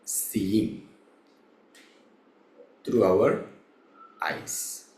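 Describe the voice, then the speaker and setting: A man guiding a meditation as a teacher, speaking in measured, halting short phrases with long pauses between them. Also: on the low side, animated, a little mellow. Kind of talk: slow guided meditation instruction. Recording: roomy, echoing room sound.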